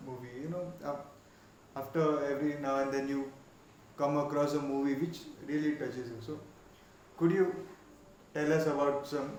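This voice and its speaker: A man's voice: medium pitch, a little mellow. A young man from Goa with an Indian English accent, hosting a casual podcast and asking friendly, hesitant questions. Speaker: podcast host. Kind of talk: casual chat. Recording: echoey room, faint noise.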